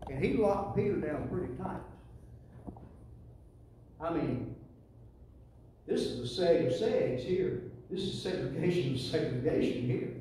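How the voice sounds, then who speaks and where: A man's voice: a little high, mellow. Echoey Southern preacher man, middle-aged. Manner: folksy, emphatic, repeats phrases, calls for responses. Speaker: pastor preaching to a church congregation. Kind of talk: sermon. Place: church sanctuary. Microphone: handheld mic through PA, filmed from the pews. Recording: echoey church PA, distant, faint noise.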